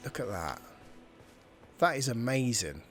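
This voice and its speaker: A man's voice: mid-range voice, big pitch swings, unhurried, faint music behind. Man giving casual gaming commentary. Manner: informal, thinking aloud. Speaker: gameplay video host.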